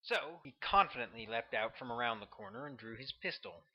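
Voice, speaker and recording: A man's voice: medium pitch, animated, a little fast, a little hiss or hum. A man narrating his own novel as a scripted audiobook. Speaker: author reading own audiobook. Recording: dull, lo-fi recording.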